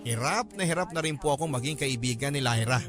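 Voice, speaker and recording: A man's voice: mid-range voice, lively intonation, fast, music in the background. A man reading a first-person letter as a radio drama narrator, in an earnest, respectful storytelling manner.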